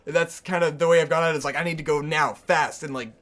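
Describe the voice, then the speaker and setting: A man in his twenties chatting casually as co-host, animated and laughing easily, swearing for emphasis. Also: fairly high pitch, fast. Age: 20s. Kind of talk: casual chat.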